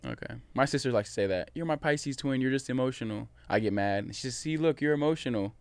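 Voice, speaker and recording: Fast-talking young man, smooth voice. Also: medium pitch, no room sound. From Washington, US. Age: 20s. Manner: relaxed, easygoing, many fillers. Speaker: podcast guest. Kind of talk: casual podcast chat.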